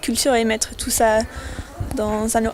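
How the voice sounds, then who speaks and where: A woman's voice: a little high, little pitch movement, takes it slowly. A young woman from Scotland, a student speaking fluently and with enthusiasm. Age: young adult. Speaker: international student.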